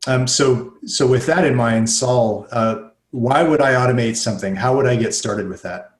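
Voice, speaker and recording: A man's voice: mid-range voice, moderate pace. Man hosting in an echoey room. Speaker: show host. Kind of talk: interview conversation.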